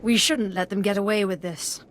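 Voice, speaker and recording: Mid-pitched female voice, actress as young Sith apprentice, cool, composed, curt, game dialogue, rumbling noise. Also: fairly even intonation, moderate pace, close to an everyday voice. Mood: dutiful. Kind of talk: video game voice acting.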